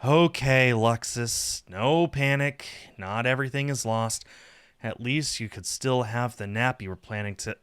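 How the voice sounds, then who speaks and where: A man's voice: mid-range voice, a little slow, a bit bright, clean tone, lightly acted. A man voicing a sulky, sarcastic satyr slacker from a visual novel game, whiny and dramatic. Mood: anxious self-soothing. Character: sulky sarcastic male satyr, lazy hard-drinking slacker.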